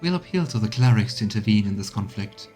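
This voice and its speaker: A man's voice: on the low side, lively intonation, quick pace, warm tone, faint music behind, close to an everyday voice. A man voicing an earnest military adviser, reading video game dialogue in a firm, formal manner. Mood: firm, resolute.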